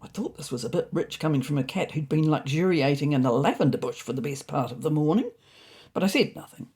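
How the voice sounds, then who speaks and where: A woman's voice: low voice, animated, fast talker, mellow, breathy edge, exaggerated voice. A woman voicing a fussy, neurotic male ginger cat who narrates a comic storybook diary in a prim, indignant, self-important way.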